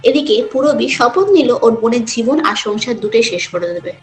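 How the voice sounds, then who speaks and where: A woman's voice: fairly high pitch, animated, normal speed, faint music behind. A woman storyteller reading scripted narration for a cartoon folk tale.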